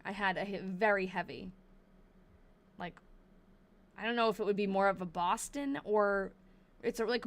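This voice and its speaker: A young woman with a New England accent, chatting casually on a live stream as she reads an old diary aloud slowly and thinks out loud. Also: medium pitch, vocal fry. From New Hampshire. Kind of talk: casual livestream chat and slow diary reading.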